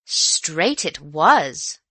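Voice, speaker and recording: A woman voicing a nosy, emphatic and excitable young housemaid in an audiobook story. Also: sits low, drawn-out words, husky, no room sound, heavily acted. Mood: excited.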